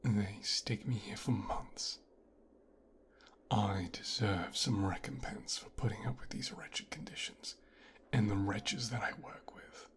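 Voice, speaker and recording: A man playing a possessive, coldly calculating young mafia boss's son in a hushed, breathy ASMR roleplay, speaking slowly and deliberately. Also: on the low side. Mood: possessive, gloating. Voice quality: hushed, breathy, half-whispered. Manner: slow, deliberate, musing with pauses.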